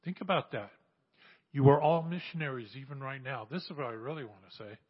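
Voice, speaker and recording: Man in his sixties, husky preaching. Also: mid-range voice, fairly quick, highs cut off. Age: 60s. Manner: animated, exhorting, repeats phrases. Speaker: church speaker sharing mission testimony. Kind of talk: sermon testimony.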